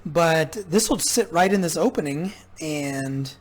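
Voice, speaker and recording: A man talking casually and haltingly as he thinks aloud, giving hands-on commentary as a repair vlogger. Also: fairly high pitch. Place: indoor repair workshop.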